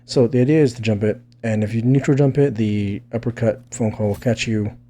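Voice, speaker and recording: A man's voice: medium pitch, a little fast, dark tone. A man giving a casual fighting game tutorial, thinking aloud in a rambling way and often checking in with "right?". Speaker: gaming video creator explaining techniques.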